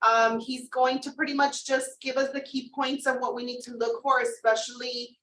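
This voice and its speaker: A woman's voice: high-pitched, little pitch movement, moderate pace, a bit bright. A woman hosting a work meeting, introducing a speaker casually with fillers. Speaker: meeting host. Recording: echoey room, far from mic, faint noise.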